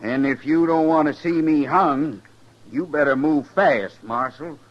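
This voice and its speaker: An actor playing a proud, vengeful older rancher with a rural Western accent, gruff and defiant, in 1950s radio western style. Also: male voice, on the high side, unhurried, soft background music, close to an everyday voice. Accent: rural American Western. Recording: dull vintage 1950s radio recording.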